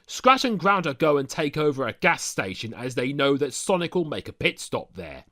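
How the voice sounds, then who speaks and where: A man's voice: on the high side, very animated, normal speed, a bit bright. A man narrating a scripted comic story recap, dropping sardonic asides to the listener. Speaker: narrator of a fan audio story.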